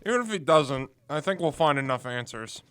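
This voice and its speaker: A man's voice: mid-range voice, expressive, a little fast, a bit bright, clear, resonant tone, natural voice. A man playing a cocky, dogged young amateur detective in an audio drama, self-assured and theatrical. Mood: confident.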